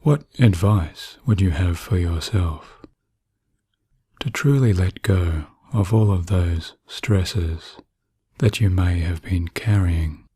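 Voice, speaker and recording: A man narrating guided sleep hypnosis in a soothing, hushed manner with long pauses. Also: sits low, breathy edge, dry recording.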